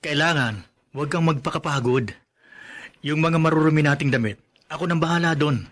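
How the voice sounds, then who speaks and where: A man's voice: a little high, a little fast, fairly bright tone. An actor playing a protective, worried young husband and expectant father in a radio drama. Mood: firm, protective.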